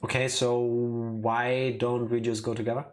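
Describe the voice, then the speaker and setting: A man's voice: mid-range voice, level tone, measured pace. A man giving casual commentary as he streams a video game. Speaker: let's play streamer.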